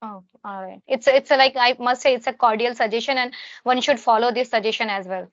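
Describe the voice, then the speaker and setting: A woman's voice: on the high side, brisk, no echo, highs cut off. A woman interviewing a tutor, asking questions hesitantly and often stopping to find her words. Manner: hesitant, many fillers and restarts.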